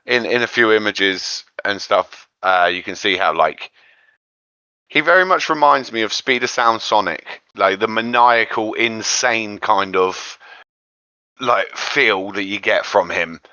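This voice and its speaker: A man co-hosting a casual anime discussion, thinking aloud in a halting way. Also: on the low side, pushed and bright, no echo, lo-fi sound. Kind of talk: casual chat.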